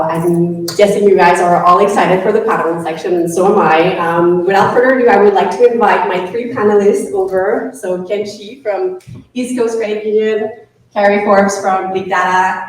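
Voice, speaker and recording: A woman's voice: mid-range voice, steady tone, moderate pace. A woman moderating a live panel discussion in a friendly way, with frequent ums as she introduces the speakers. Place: event room with an audience. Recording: echoey room, distant mic, faint noise.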